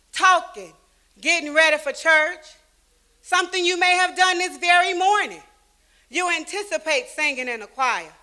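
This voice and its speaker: A woman playing a young girl, telling the story gently into a handheld mic. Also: high voice. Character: young girl victim, calm and reflective. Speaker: actor in a church skit.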